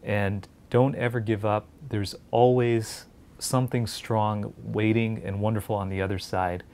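A man's voice: lowish voice, level tone, unhurried, a little mellow. A man, earnestly talking to camera in an indoor research lab on a lapel mic. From India, raised in New Jersey. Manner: earnest, encouraging. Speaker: pediatric clinical fellow.

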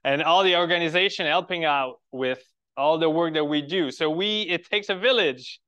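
A man's voice: on the high side, normal speed, pushed and bright, clear, resonant tone, dull audio. French-Canadian man giving a lecture. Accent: Quebec French. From Quebec. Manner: friendly, rhetorical questions, restarts phrases. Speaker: biologist giving a public talk. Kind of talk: conference lecture to a birding club. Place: lecture room.

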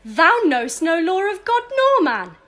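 A woman's voice: very high voice, very animated, measured pace, a bit bright, full character voice. A woman playing a grieving, furious widow in a theatrical, scornful verse audio drama. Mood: scornful fury. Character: grieving, furious widow cursing her husband's killer.

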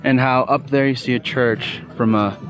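A man hosting a casual travel vlog outdoors, relaxed and chatty as he explains the sights. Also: medium pitch, normal speed. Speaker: travel vlogger. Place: outdoors in a small town. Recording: music playing under the voice.

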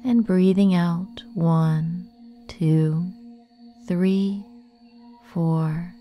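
A woman narrates a guided meditation very slowly in a dark, relaxed voice. Her delivery is gentle and soothing, with long pauses between phrases. Faint music plays behind her. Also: fairly low pitch.

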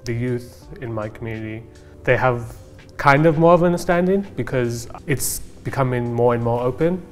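A young man, speaking in a measured, reflective way. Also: medium pitch, darkish tone, soft background music. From South Africa. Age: young adult. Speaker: panel guest. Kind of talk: reflective interview. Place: bright studio with plants, indoors.

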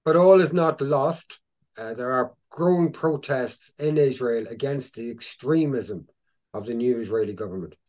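A man's voice: medium pitch, normal speed, a little mellow. A middle-aged Irish man talks through news clips on a video call, sounding openly opinionated. He often restarts his sentences and leans on fillers as he goes. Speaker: co-host presenting news clips. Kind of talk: casual commentary on a video call. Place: indoor room with a virtual backdrop. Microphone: video call webcam.

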